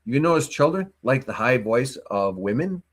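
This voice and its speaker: A man's voice: mid-range voice, big pitch swings, normal speed. A man co-hosting a local morning news talk show, talking through stories in a rambling, opinionated way with many restarts. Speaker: co-host.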